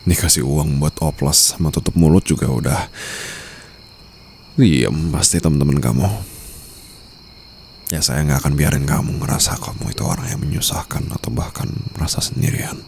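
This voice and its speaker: A man with an Indonesian accent acting a smitten, protective mafia boss in an intimate ASMR boyfriend roleplay, murmuring softly and teasingly. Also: rumbling low, moderate pace, gravelly. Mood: reassuring, possessive. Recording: faint night-time insect ambience noise.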